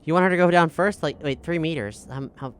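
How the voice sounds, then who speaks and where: A woman playing a tabletop game, talking quickly and playfully and often laughing. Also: sits low, clear, resonant tone. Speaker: player. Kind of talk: casual roleplay chat.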